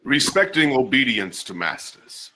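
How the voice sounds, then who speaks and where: A man giving a slow, solemn dramatic reading of an old address and poem. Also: medium pitch, very animated, pushed and bright, slight background noise, rough recording. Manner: slow, measured, solemn recitation. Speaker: reader of historical texts.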